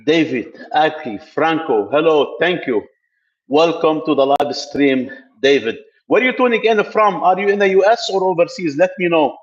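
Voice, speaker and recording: A man's voice: fairly high pitch, lively intonation, normal speed, highs cut off. A middle-aged man from Lebanon hosting a casual tech live stream from a gym, talking loosely with many fillers and restarts. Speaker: tech channel host. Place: building gym, indoors.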